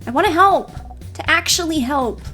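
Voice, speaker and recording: A woman voicing a stubborn, excitable young child in a video game, blurting and insistent. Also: sits high, moderate pace, faint music behind, voice pushed up. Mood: determined.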